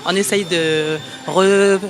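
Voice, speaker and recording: A man's voice: high voice, fairly even intonation, slow pace, over music. A man from French Guiana, a customer chatting casually in an on-the-spot interview. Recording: busy crowd behind.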